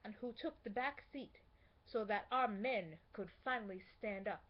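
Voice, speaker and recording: A woman playing a dignified, indignant female civil rights activist, delivering a measured, emphatic theatrical speech. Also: medium pitch, natural voice. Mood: impassioned. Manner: measured, emphatic, rhetorical, with weighty pauses. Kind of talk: theatrical monologue, oratorical speech. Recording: dull lo-fi amateur recording.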